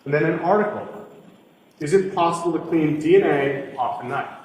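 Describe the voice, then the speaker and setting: A male police witness reads evidence aloud in court. His voice is a little high for a man and rings with heavy echo and long reverb in a big room. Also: normal speed, a little mellow, a little hiss or hum. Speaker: state trooper testifying in court. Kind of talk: reading evidence aloud in testimony. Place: large indoor courtroom.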